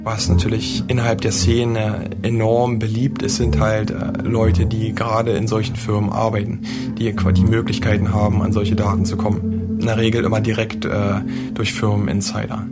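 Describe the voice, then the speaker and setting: A man's voice: on the low side, flat delivery, normal speed. A man giving casual, matter-of-fact explanations as an interviewed insider, sometimes searching for words. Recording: loud guitar music behind voice.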